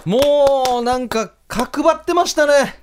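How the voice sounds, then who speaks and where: A man with a high, very lively voice. He hosts a radio show, moving between casual chat and reading listener mail, with his intonation swinging widely. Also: a little slow, background noise.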